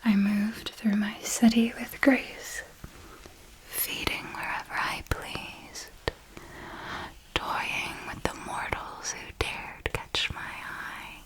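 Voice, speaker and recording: A woman playing an ancient, refined female vampire mentor in an ASMR roleplay, telling her story in a deliberate, theatrical and elegant manner. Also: fairly high pitch, level tone, rough recording. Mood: wistful, elegant menace. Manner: slow, deliberate, theatrical, elegant old-fashioned phrasing.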